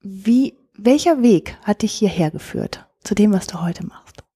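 A woman's voice: medium pitch, lively intonation, a little slow, mellow, husky, no echo, studio quality. A woman hosting a podcast interview, talking in a hesitant way with many fillers, recorded in a coworking space. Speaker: podcast host. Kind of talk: conversational interview.

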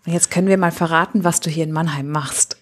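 Breathy German podcast host woman. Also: low voice, fairly even intonation, fast. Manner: chatty, friendly questions with fillers and laughs. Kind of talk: casual podcast chat.

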